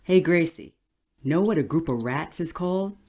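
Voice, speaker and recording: A sardonic tall lady sidekick, low voice that swings around a lot, dry audio drama banter. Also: lively intonation, moderate pace, a little mellow. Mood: amused. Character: tall, sardonic, game-for-anything woman sidekick.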